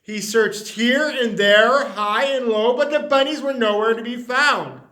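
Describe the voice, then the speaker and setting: A man reading a children's picture book aloud, expressive and dropping to a hush on the refrains. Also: very high voice, unhurried. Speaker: storyteller.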